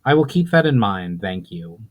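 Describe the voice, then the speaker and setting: A man's voice: lowish voice, sing-song, normal speed. Guy doing elf hero from a fantasy audiobook, polite, proud, kinda formal and earnest. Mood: curious, courteous. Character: proud, courteous male elven adventurer in fantasy tale. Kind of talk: dramatic fantasy audiobook reading.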